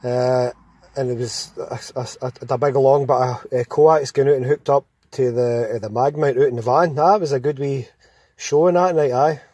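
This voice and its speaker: A man's voice: mid-range voice, fairly even intonation, moderate pace, darkish tone, no echo. A Scottish man chatting casually as a CB radio operator.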